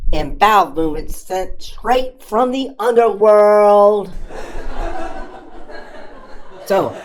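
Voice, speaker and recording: Woman performing a slow-paced, cheeky puppet comedian. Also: on the low side. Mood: playful, wry. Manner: dramatic pauses and exaggerated emphasis. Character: Gus, cheeky self-amused puppet stand-up comedian. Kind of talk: family-friendly stand-up comedy storytelling.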